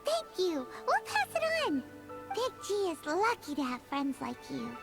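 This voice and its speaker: A woman voicing a tiny, childlike, earnest fairy companion with a squeaky, chirpy voice in an anime-style video game. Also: huge pitch swings, a little slow, creaky, loud music behind the voice, exaggerated voice. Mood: chirpy, helpful.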